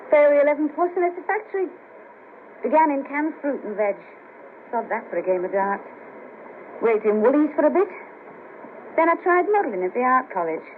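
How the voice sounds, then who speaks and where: Brash, high-voiced Liverpool sex worker, muffled tape. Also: female voice. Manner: blunt, cheeky, matter-of-fact. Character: brash, bawdy, streetwise Liverpool sex worker, wryly guarded. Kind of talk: 1970s radio play acting. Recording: patchy hissy cassette of 1970s radio broadcast.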